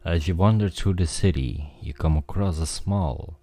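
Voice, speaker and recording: A man's voice: low-pitched, moderate pace, dark tone, no echo. A man streaming a game, thinking aloud and reading the story text himself. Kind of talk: casual game commentary with read-aloud narration. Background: faint music.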